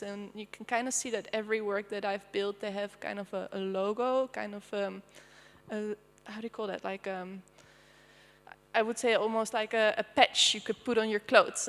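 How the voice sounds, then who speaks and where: Dutch woman, artist-presenter, higher voice, casual and rambling, lecture hall reverb. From Netherlands. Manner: loose and chatty, many fillers and restarts. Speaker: artist presenting her research. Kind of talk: panel presentation.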